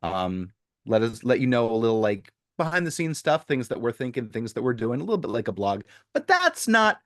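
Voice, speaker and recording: A man with an average-pitched, very animated voice talks casually as a podcast co-host. He is getting over the flu and explains things playfully, in halting bursts. The sound is dry, without room echo.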